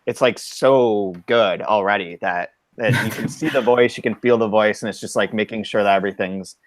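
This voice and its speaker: Guy hosting a book podcast, super dry close sound, says like a lot, excited. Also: on the low side, normal speed, dry recording. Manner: enthusiastic, lots of "like" fillers. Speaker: podcast co-host. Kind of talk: casual podcast chat.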